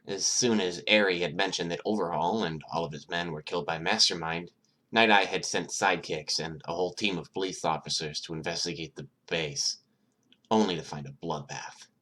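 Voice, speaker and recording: A low-pitched narrator reads fiction aloud. The delivery is scripted storytelling, in the manner of a homemade audiobook. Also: moderate pace, sharp tone.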